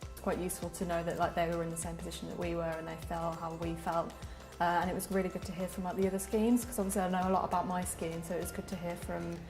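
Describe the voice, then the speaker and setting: Young Birmingham woman, graduate trainee, lowish and conversational, echoey room with music. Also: level tone, fast. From Birmingham, England. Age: young adult. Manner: conversational, using "like" as a filler. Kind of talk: casual interview answers. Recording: roomy sound with music behind.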